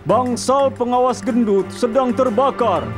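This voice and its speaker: Very high, bossy rescue crane. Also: male voice, a little slow, music in the background. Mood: urgent. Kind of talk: dubbed children's cartoon acting.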